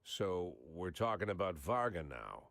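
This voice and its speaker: An actor playing a world-weary, guarded cop in a video game, laconic and understated. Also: male voice, low-pitched, big pitch swings, unhurried, fairly bright tone, dry recording, heavily acted. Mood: wary.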